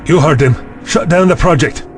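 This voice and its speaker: An actor playing an indignant, officious vice-chancellor in an audio drama, brusque and scolding with a husky voice. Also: male voice, a little high, moderate pace, faint music behind, close to an everyday voice. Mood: stern.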